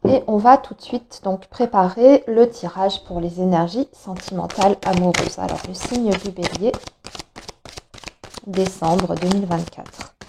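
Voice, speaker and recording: A woman with a slight cold and a bit of hoarseness in her throat, giving a monthly tarot reading in a hesitant, explanatory way with many filler sounds. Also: mid-range voice, unhurried, background noise. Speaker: tarot reader.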